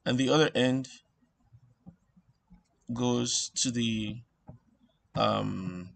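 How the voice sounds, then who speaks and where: A man giving an informal electronics tutorial, thinking aloud in a halting way and repeating phrases as he works things out. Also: mid-range voice, gravelly. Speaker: instructor.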